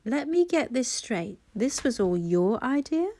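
A woman's voice: on the high side, very animated, measured pace, warm tone. A woman voicing a frantic, protective young mother who speaks urgently, in a storybook reading. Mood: angry. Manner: urgent, pleading, angry.

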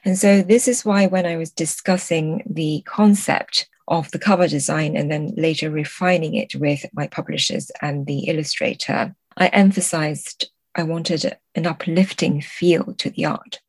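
A woman speaking as an interview guest, explaining literary ideas in a measured, articulate and thoughtful way. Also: low voice, fairly even intonation, a little mellow, breathy edge, dry recording. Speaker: guest translator.

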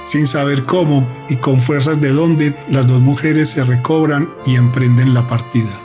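A man's voice: medium pitch, steady tone, normal speed, over music. A man narrating a radio drama in a solemn, literary, dramatic manner.

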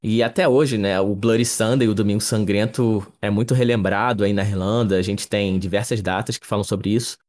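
A young man co-hosting a podcast, explaining sports history in a relaxed, chatty way, often adding little tag questions. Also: fairly low pitch, brisk, hardly any pauses. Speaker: podcast co-host. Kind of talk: casual explanatory chat.

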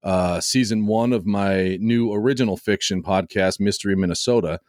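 A man with a lowish, slightly scratchy and hoarse voice talks in a loose, rambling way, often restarting his sentences. His podcast mic gives a close, dry sound with no room in it. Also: normal speed, a little mellow, no echo. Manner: rambling, hesitant, with frequent restarts. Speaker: podcaster guest. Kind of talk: casual podcast chat. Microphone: home podcast mic.

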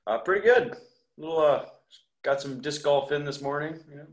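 A man's voice: a little high, a little fast. A man chatting casually as an interview guest, laid-back and self-effacing. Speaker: podcast guest, musician. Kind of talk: casual chat. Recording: echoey room sound.

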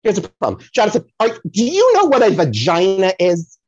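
A flamboyant, easily distracted psychic from a comedy audio play. The voice is husky and swings widely in pitch, rambling and gushing with theatrically stretched words. The recording is dry and a little muffled. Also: wildly swooping pitch, a little mellow, no echo. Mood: gushing, fussy. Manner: rambling, theatrical, repeats phrases, drawn-out dramatic words. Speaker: podcast guest playing a psychic. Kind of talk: improvised comic audio play, podcast spoof.

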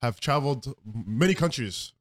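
A man's voice: medium pitch, animated, normal speed, a bit bright. A man hosting a casual interview, informal, often repeating phrases and checking in with the listener. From Canada. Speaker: interview host.

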